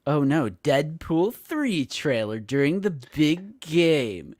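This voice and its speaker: A man's voice: a little high, slow, dry recording, clean studio sound. A man in his late twenties co-hosting a live stream, chatting casually and joking, acting out quotes. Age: late 20s. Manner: animated and joking, acts out quotes. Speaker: co-host of a toy collecting live stream.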